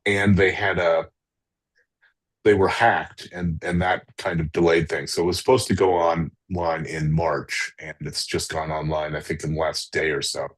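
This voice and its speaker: A man's voice: low-pitched, little pitch movement, normal speed. A man, a poet and translator, chatting casually in a self-deprecating way. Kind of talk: casual chat.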